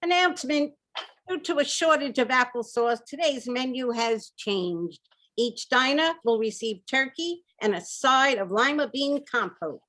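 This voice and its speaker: A woman's voice: high-pitched, expressive, a little slow, fairly bright tone, rough recording. A woman playing an officious director of a seniors' dining room in a radio comedy. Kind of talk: old-time radio comedy acting.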